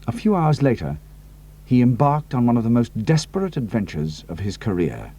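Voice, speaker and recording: A man reading an adventure audiobook in a measured, expressive way. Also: medium pitch, a little hiss or hum. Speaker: audiobook narrator. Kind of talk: scripted dramatic narration.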